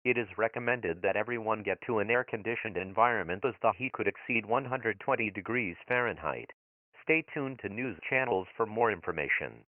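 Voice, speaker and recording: A man playing a grave emergency alert announcer, reading formal scripted bulletins in a flat official way through a radio filter. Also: on the low side, a little fast, no room sound. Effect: radio broadcast filter. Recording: muffled, phone-like radio transmission.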